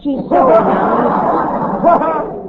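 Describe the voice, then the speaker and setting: A man playing an earnest, pompous teenage boy suitor in 1940s radio comedy, speaking haltingly. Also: very high voice, phone-quality sound, very noisy, heavily acted. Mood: anxious earnest. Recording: thin old radio recording.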